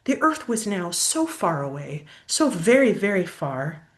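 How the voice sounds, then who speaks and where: A woman's voice: on the low side, very animated, unhurried. An older woman reading a children's picture book aloud expressively, like a grandmother pointing out pictures to a child.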